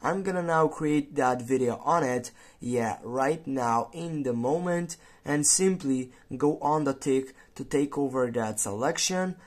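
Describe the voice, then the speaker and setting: A tutorial host giving step-by-step narration, with halting, non-native English phrasing. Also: mid-range voice.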